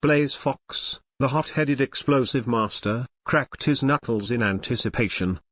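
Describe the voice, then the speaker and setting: A man giving scripted storybook narration of an audio drama in a measured, dramatic way. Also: medium pitch, a bit bright, dry recording, studio quality.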